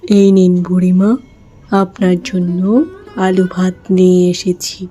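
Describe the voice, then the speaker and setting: A woman voicing a poor, gentle village wife and mother in a cartoon fairy tale, speaking earnestly and respectfully. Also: lowish voice, completely flat delivery, unhurried, faint music behind. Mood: gentle, apologetic.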